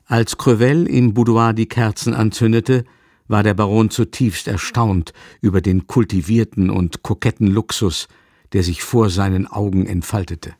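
A man's voice: on the low side, fairly even intonation, moderate pace, a little mellow, dry recording, studio quality. A man narrating a literary radio drama from a script, as a storyteller.

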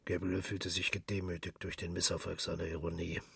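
A man with a husky, gravelly voice reads a literary story in as a dramatic radio narration, brooding and measured. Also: low-pitched, monotone, a bit bright, no room sound.

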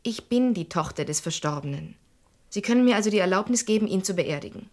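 An actress playing a cool, resolute grieving daughter with a controlled, firm delivery in a radio crime drama. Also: female voice, mid-range voice, lively intonation, fast talker, darkish tone, dry recording, close to an everyday voice. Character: cool, resolute pianist, murdered man's daughter.

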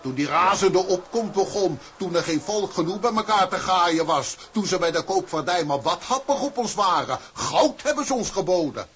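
An actor playing a cynical, indignant seasoned sea-tug officer in a radio drama, swearing and telling things with sardonic emphasis. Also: male voice, sits high, expressive, moderate pace, few pauses, fairly bright tone, slight background noise.

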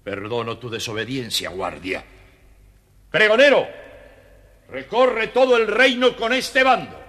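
Casting: elderly anxious storybook king; slow, bright, theatrical, long hall reverb. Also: on the high side, big pitch swings, full character voice. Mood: solemn, commanding. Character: frail, anxious old king and father. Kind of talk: children's audio storybook acting. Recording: reverberant hall, faint noise.

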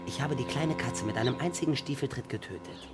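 A man's voice: mid-range voice, fast talker, loud music behind the voice, close to an everyday voice. A man playing a taunting, volatile young killer in a crime radio drama, aggressive and crude. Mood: cold gloating.

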